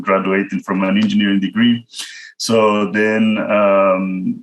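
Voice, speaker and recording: A man's voice: lowish voice, low-quality recording. A man from El Salvador with a Central American Spanish accent, telling his career story as a guest speaker in a conversational way, speaking directly to listeners. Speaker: guest speaker, product manager. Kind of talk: informal career talk, autobiographical storytelling. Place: online virtual event.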